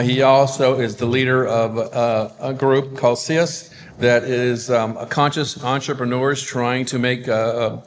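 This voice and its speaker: A man hosting a conference who speaks casually and keenly, with many fillers, but in a flat, even tone. His voice rings with a long hall reverb. A faint room noise runs underneath. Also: mid-range voice. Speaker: event organizer, host. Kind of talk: introducing a speaker.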